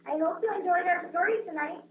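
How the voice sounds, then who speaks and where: Lady teacher reading kids a story, high voice, echoey room, bit of background noise. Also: brisk. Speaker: guest teacher reading stories to children. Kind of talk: storybook read-aloud for children. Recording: roomy, phone-like webcast with faint noise.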